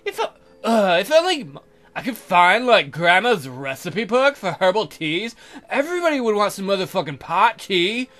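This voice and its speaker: A man's voice: high-pitched, very animated, normal speed, fairly bright tone. A man voicing an exasperated, crude-mouthed young maiden from a fairy tale game in an exaggerated, complaining way.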